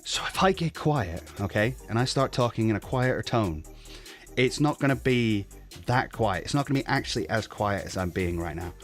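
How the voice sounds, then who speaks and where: A man's voice: fairly low pitch, expressive, moderate pace, faint music behind. A man in a casual tutorial, explaining his streaming audio setup in a chatty way, often checking in with "okay?" and correcting himself. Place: home streaming desk. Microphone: studio mic with noise gate and compressor.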